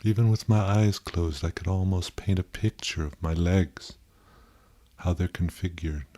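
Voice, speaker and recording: Low, mellow male meditation guide. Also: steady tone. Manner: gentle, contemplative, pausing, self-questioning.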